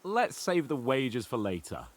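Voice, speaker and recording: A cocky young nobleman, lively. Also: mid-range voice, moderate pace. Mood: teasing. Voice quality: playful, mocking. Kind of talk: game cutscene acting.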